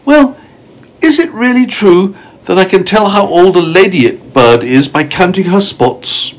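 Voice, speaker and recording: A man's voice: sits high, animated, unhurried, pitched above the performer's own voice. A man voicing a polite, curious little boy from a storybook, earnest and admiring.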